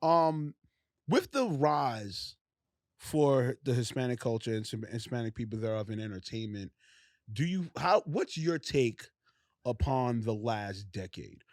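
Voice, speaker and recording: Casting note: male podcast host, slangy and rambling, middle pitch, dry close sound. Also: expressive, unhurried, no room sound. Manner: loose, slangy, rambling questions full of fillers. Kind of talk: casual interview chat.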